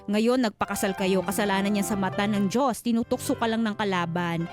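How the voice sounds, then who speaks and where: A woman's voice: medium pitch, brisk. A Filipino woman co-hosting a casual radio advice show, frank and chiding as she talks and reads listener comments. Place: radio studio. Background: background music.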